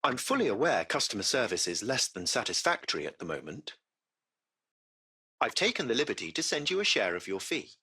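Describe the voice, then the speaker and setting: A man's voice: medium pitch, sing-song, brisk, sharp tone, slightly rough, exaggerated voice. A man playing a courteous, formal AI taxi-service machine in a video game, with precise, butler-like phrasing. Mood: apologetic. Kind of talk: scripted video game voice acting.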